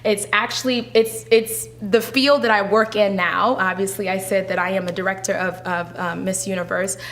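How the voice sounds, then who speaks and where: A woman's voice: mid-range voice, fairly even intonation, moderate pace, a bit bright, a little hiss or hum. A woman who is a pageant titleholder, chatting in a casual interview with lots of fillers and restarts. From Haitian descent.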